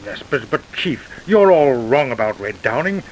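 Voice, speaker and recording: An actor playing a distressed, loyal and protective American husband in an old-time radio crime drama. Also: male voice, medium pitch, very animated, normal speed, lightly acted, low-quality recording. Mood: protective, insistent. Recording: hissy vintage radio recording.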